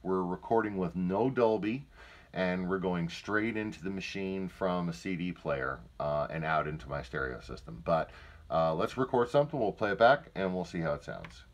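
A man's voice: low voice, lively intonation, moderate pace. A man giving a casual, plain-spoken product demonstration indoors, speaking informally from off camera.

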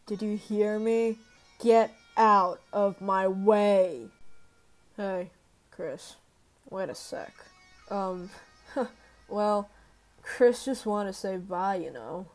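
Woman voicing a slow, sullen teenage monster girl bully. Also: medium pitch, darkish tone, soft background music. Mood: aggressive, then awkward, softer. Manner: tough, sarcastic, slangy drawl. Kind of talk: amateur video game character voice demo.

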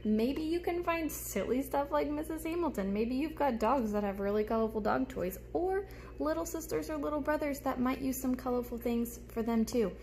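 A woman's voice: sits high, moderate pace. Young woman teaching children, noisy room. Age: young adult. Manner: expressive, simple explaining aimed at young children. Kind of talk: children's show-and-tell lesson. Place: small room at home, indoors. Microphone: phone held close in front.

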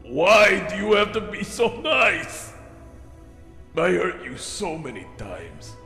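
A man voicing a bitter, volatile skeleton monster villain in a dramatic comic dub, with a gravelly, snarling delivery. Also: high-pitched, huge pitch swings, takes it slowly, sharp tone, full character voice. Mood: anguished. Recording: echoey hall reverb, music behind.

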